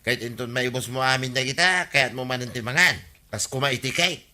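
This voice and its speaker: Exasperated village nag: very sharp, forceful voice, mid pitch, complaining radio comedy delivery. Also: male voice, brisk. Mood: nagging. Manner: nagging, complaining. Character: scolding, exasperated village man nagging a greedy old woman. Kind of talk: radio comedy drama acting.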